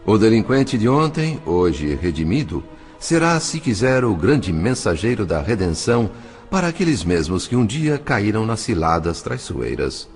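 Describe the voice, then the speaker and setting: A man with a Brazilian accent playing an earnest, gentle spirit narrator, telling the story in a solemn, measured audiobook style with drawn-out phrase endings. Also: low-pitched. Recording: studio narration with music underneath. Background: music.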